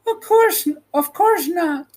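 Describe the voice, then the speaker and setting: A young girl from a storybook, innocent and plucky, put on by a male narrator. The voice leaps far up into a very high register. She speaks earnestly, like a child standing up for herself. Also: expressive, slow pace, a little mellow, heavily acted. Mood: frightened, apologetic.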